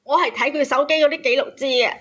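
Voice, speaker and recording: A woman's voice: sits high, steady tone, fast, fairly bright tone. A woman with a Cantonese accent telling her personal story as a caller, agitated and emphatic, often repeating her phrases. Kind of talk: emotional personal storytelling on a call-in show.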